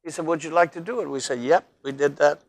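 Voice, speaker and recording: A man's voice: on the high side, quick pace. Folksy older male talk show host. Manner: rambling, folksy, addresses viewers as folks. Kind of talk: local cable interview.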